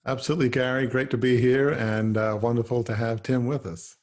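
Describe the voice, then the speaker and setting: A man's voice: medium pitch, steady tone, fairly quick. A man hosting a podcast, asking the guest questions in a friendly, hesitant way with lots of fillers. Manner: warm, hesitant, many fillers. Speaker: podcast host. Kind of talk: interview questions.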